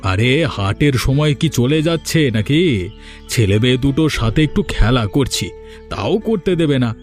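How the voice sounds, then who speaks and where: A man playing a worried, affectionate village farmer husband and father in a Bengali cartoon, with an expressive, complaining delivery. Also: mid-range voice, moderate pace, faint music behind, lightly acted. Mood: affectionate, playful.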